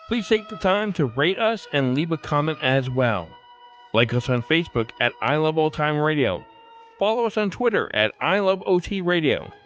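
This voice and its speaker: A man's voice: fairly high pitch, a bit bright, dull audio. A man hosting a podcast, talking directly to listeners as he goes through messages and show announcements. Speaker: podcast host.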